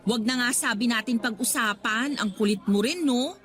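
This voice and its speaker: A woman's voice: fairly high pitch, moderate pace, fairly bright tone, natural voice. An actress playing an exasperated, sulky young working girlfriend with a Filipino accent, huffy and nagging in a radio drama. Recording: background music under the voice.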